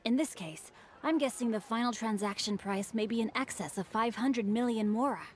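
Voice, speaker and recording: A woman's voice: on the high side, normal speed, slight background noise, close to an everyday voice. A woman voicing a courteous, bookish young man in a video game, speaking formally and eloquently over music. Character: refined, bookish young male guild heir, courteous. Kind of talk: video game character acting. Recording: music behind the voice.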